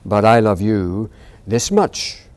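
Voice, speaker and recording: A grown male hare from a storybook, patient and fond, speaking low and slow, as a man voices him. His tone stays warm and mellow throughout. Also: lively intonation. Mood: gentle, fond. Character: big grown-up male hare, calm and loving.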